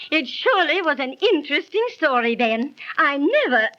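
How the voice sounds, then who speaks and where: An actress playing a teasing, wry middle-aged wife in a 1930s radio drama, on an old recording. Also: female voice, sits high, sing-song, normal speed, pushed and bright, muffled recording, exaggerated voice. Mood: pleasant, curious.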